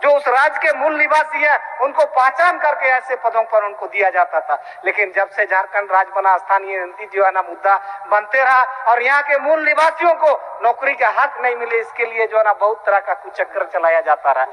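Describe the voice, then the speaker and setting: A man's voice: extremely high pitch, normal speed, hardly any pauses, pushed and bright. A man delivers an emphatic political speech in an assembly, in long winding sentences. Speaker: ruling-party legislator. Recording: reverberant hall, public address sound.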